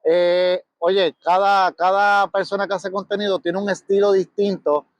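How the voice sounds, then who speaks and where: A man's voice: sits high, level tone, unhurried, no room sound. A Puerto Rican man hosting a casual interview, thanking and praising his guest with enthusiasm. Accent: Puerto Rican Spanish. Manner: enthusiastic, grateful. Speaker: interviewer, car channel host. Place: outdoors at a beach park car event.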